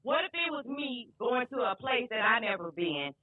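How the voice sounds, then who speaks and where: A woman's voice: fairly high pitch, big pitch swings, normal speed, dry recording. A Southern woman, a caterer and guest, talking casually and earnestly, sometimes repeating herself as she makes a point. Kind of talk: casual podcast talk. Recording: dry, phone-like lo-fi sound.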